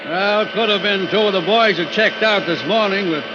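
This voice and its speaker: High-pitched hotel clerk played by a man over roaring noise. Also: moderate pace, lightly acted. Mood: offhand, raised. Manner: raised, offhand. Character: offhand male hotel desk clerk, talking over noise. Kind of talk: 1950s radio drama acting. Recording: dull radio recording, loud vacuum noise.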